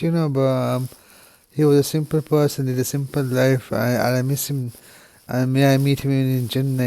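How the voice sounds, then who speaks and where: A man from the United Kingdom speaking straight to camera in a halting, subdued way, full of restarts and "you know" fillers. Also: medium pitch, rough recording. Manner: subdued and quiet, grieving. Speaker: vlogger. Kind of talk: emotional personal monologue.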